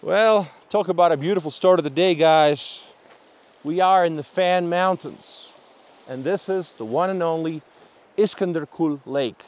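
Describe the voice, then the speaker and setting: An upbeat man with a fairly high voice hosts a travel vlog outdoors by a mountain lake. He talks into a camera mic in a friendly, chatty way. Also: a little slow. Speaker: travel vlogger host interviewing. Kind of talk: on-location vlog chat.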